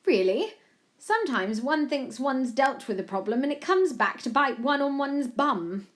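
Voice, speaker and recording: A woman's voice: high voice, lively intonation, unhurried, slight background noise, voice pushed up. A woman voicing an elderly, posh Queen in a story, regal and old-fashioned in her phrasing, frank and playful. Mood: sly, playful.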